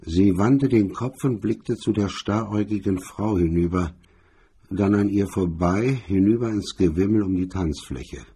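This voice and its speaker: A man with a husky, rough voice reading scripted crime fiction as a radio drama narrator. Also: fairly low pitch, unhurried, mellow.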